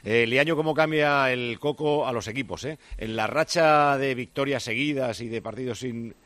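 A man hosting a live radio sports show, bantering with reporters and quickly running through scores and standings. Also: medium pitch, a bit bright, dry recording.

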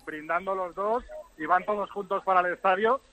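A man's voice: sits high, brisk, sharp tone. A man reporting live from outside a rugby final, chatting and joking with fans around him. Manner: chatty, jokey, interviews fans on the spot. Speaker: field reporter at a rugby match. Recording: dull lo-fi remote line.

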